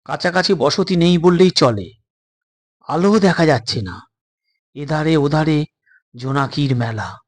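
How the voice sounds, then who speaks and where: A man narrates a suspense tale as a dramatized audio story. His voice sits a little high and has a distinctly husky, breathy grain, shaped for scripted storytelling. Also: animated, a little slow, pauses often.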